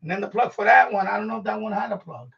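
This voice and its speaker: A man with a creaky, gravelly edge rambles through an informal live stream from a room at home. His talk is slangy and loose, half to himself. The sound is dull and lo-fi, picked up from a distance. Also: high voice, very fast, a bit bright. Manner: informal, slangy, swearing, talking to himself while handling objects. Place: room at home being set up as a radio shack.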